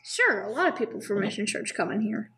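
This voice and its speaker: A woman's voice: mid-range voice, very animated, brisk, a bit bright. A woman playing a blunt, opinionated shopkeeper in an amateur radio drama, chatty and emphatic. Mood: dismissive, certain. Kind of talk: 1950s radio drama acting, amateur church production.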